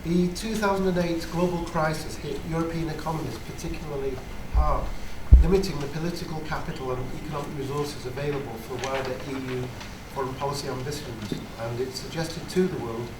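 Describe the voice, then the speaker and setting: A man with a sore throat gives prepared remarks at a conference panel. He hesitates often and hunts for words. The sound is distant and roomy, with loud background noise. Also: on the high side, fairly even intonation, fairly quick, few pauses. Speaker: panellist, member of parliament. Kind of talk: conference speech. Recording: echoey hall, distant mic, loud noise.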